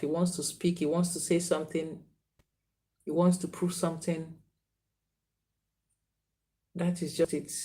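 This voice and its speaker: A woman reads for viewers on a live stream. She speaks earnestly, circling back over names and phrases, and scolds people for not responding. Her voice sits somewhat low. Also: a little mellow. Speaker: spiritual reader.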